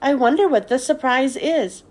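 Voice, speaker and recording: A woman voicing a timid, earnest young schoolboy in a squeaky, childlike way for a storybook. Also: lively intonation, moderate pace, a little mellow. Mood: pleased, puzzled.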